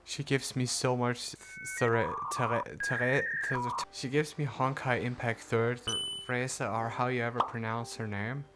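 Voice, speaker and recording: A man's voice: mid-range voice, little pitch movement, measured pace, few pauses, rough recording. A man giving casual, bemused, joking commentary as he plays through a game story. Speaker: gamer reacting to a story quest. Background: game music.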